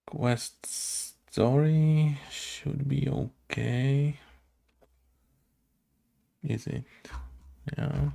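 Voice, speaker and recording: A man talks to himself while coding, in a casual, muttered way. His delivery is extremely slow and halting, with long drawn-out gaps. The voice is mellow and full of heavy vocal fry. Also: mid-range voice, steady tone. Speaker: game developer making a coding tutorial.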